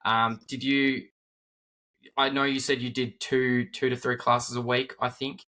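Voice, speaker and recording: Man, podcast host, bright voice, flat even pitch, relaxed chat. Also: a little slow. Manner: relaxed, sprinkled with hedges like "sort of". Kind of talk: casual conversation.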